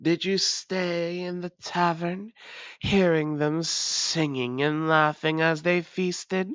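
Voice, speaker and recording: A woman acts out a menacing vampire ruler in an audio roleplay. Her voice sits low and she speaks very slowly, with proud, taunting deliberation. The sound is dry, with no room around it. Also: steady tone, smooth, dry recording. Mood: stern, bitter. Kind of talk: dramatic audio roleplay monologue.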